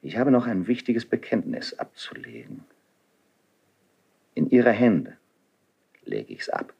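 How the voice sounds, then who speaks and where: Doomed idealistic nobleman, husky, low-pitched, declamatory, solemn, fervent, 1970s radio play verse. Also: sing-song, slow, muffled recording, full character voice. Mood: solemn, confessional. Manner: declamatory, solemn, emphatic delivery. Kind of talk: 1970s German radio drama, classical stage verse.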